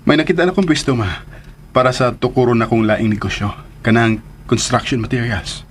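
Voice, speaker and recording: A man's voice: medium pitch, brisk, a little hiss or hum, close to an everyday voice. An actor playing a teasing, smitten young businessman in a romantic radio drama. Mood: easygoing.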